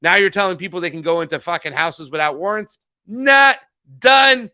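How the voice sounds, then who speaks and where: A man co-hosting a political podcast, animated and profane, mocking others in put-on voices. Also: high voice, moderate pace, clean tone, no room sound, clean studio sound. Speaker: podcast co-host. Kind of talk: casual political talk show.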